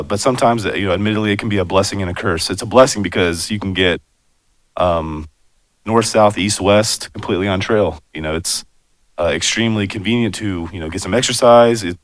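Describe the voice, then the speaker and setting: Raspy guy with a cold, super low voice, talking to city council, dry sound, lots of um and you know. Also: no room sound. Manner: conversational, many fillers and asides. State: hoarse from a cold. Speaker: bicycle and pedestrian planner presenting. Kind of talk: public meeting presentation. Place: city commission chamber.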